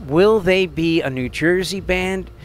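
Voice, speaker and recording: A man's voice: sits high, measured pace. A man from Metro Detroit interviewing a musician, asking long, rambling questions full of fillers into a handheld mic in an indoor room. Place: indoor venue backstage room.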